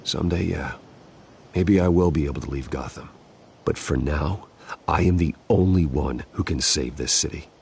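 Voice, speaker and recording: A man's voice: rumbling low, moderate pace, creaky, a little mellow, husky, heavily acted. A man playing an earnest, brooding vigilante billionaire in a dramatic video game, speaking with intensity. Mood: somber, resolute. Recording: background music under the voice.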